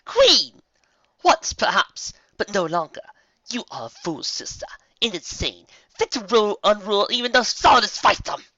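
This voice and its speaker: Storybook villain: sinister dark alicorn mare, taunting and clever, put on by a male reader. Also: sits high, sing-song, no echo, dull audio, pitched above the performer's own voice, full character voice. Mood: mocking. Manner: hissing, taunting, slow and cold.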